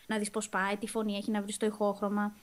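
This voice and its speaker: A young woman chats casually in an interview as a voice actor, telling things in an animated, slangy way with English words dropped in. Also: medium pitch. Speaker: voice actor and dubbing singer being interviewed. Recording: voice with music playing clearly behind. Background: music.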